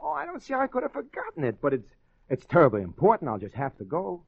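A shifty, guilt-ridden husband: quick, hesitant speech, vintage radio suspense, dull sound. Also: male voice, fairly high pitch, huge pitch swings, creaky, slightly rough, dry recording, heavily acted. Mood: apologetic, stammering. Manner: hesitant, stammering when questioned. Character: nervous, evasive scheming husband with a guilty conscience. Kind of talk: 1940s radio drama acting with first-person narration. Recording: dull old radio recording.

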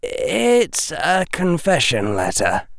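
A man's voice: a little high, huge pitch swings, slow pace, gravelly, fairly bright tone, pitched above the performer's own voice, full character voice. A man voicing a clever eleven-year-old boy in an audiobook, dry, articulate and absent-minded in manner. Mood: dry, flippant. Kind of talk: audiobook character dialogue.

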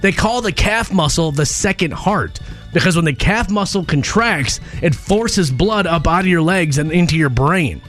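A man with a fairly high voice speaks as a morning radio co-host. He banters and explains things in a punchy, conversational style, with music behind him in the studio. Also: animated, moderate pace, fairly bright tone.

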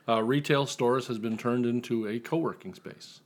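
A man's voice: medium pitch, normal speed. A man speaking as a guest author on a podcast, telling casual stories in a wry, joking way. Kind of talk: casual interview storytelling.

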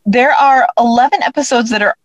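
A woman's voice: fairly high pitch, fairly quick, dry recording. A woman interviewed as a writer, chatting informally and tentatively as she thinks aloud. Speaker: audio drama writer, interview guest. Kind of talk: casual interview chat.

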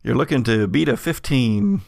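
Very creaky-voiced male game master. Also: medium pitch, lively intonation, normal speed, a little mellow, dry recording, studio quality. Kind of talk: improvised narration of a tabletop game.

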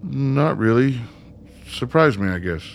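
An actor playing an awkward, uneasy newcomer in a radio play, speaking casually in a halting, pleading way. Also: male voice, mid-range voice, expressive, slight background noise. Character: awkward, uneasy newcomer man confined in a facility. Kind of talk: naturalistic radio play acting.

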